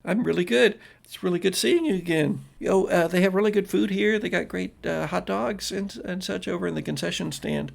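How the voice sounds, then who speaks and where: A man plays a friendly young woman from the 1950s in a tabletop roleplaying game. The voice is lifted and creaky, and the talk is chatty, gushing and enthusiastic. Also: high voice, fairly quick, hardly any pauses, pitched above the performer's own voice, full character voice.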